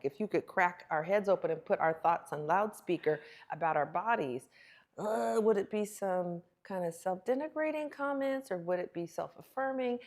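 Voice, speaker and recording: A woman with a fairly low voice and a touch of gravelly creak talks as an expert guest in an interview. She teaches emphatically, posing rhetorical questions and briefly acting out the remarks she quotes. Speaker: guest relationship expert and author. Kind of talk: animated interview talk, teaching with role-played questions.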